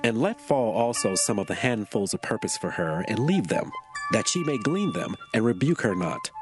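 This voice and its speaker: A kindly biblical landowner with a low voice that moves expressively up and down. He speaks with solemn, measured authority in an audio scripture drama, over clearly audible music. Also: huge pitch swings. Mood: kindly, instructing. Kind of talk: dramatized scripture acting, archaic English.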